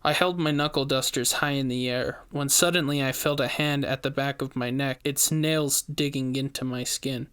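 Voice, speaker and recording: A man's voice: mid-range voice, steady tone, moderate pace, hardly any pauses, a bit bright, clean tone. A man reading a scripted first-person horror story as its narrator.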